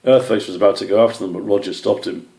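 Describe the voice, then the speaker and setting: Low, creaky-voiced man reading a story. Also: steady tone, fast, warm tone, echoey room. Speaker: author or story reader. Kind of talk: scripted story narration.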